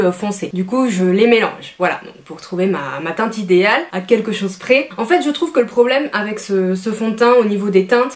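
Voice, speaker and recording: A young adult woman with a French accent, chatting through a casual beauty product review and explaining herself in asides. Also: a little high. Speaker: beauty vlogger.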